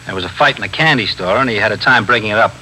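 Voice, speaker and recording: An actor playing a deferential desk sergeant in a 1950s radio police drama, clipped and matter-of-fact. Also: male voice, on the low side, very fast, pushed and bright. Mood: dutiful, brisk. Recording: faintly noisy 1950s radio broadcast.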